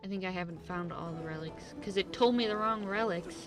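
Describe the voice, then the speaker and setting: A woman's voice: on the low side, a little fast, over music. A woman streaming a game, giving casual, offhand commentary as if half talking to herself.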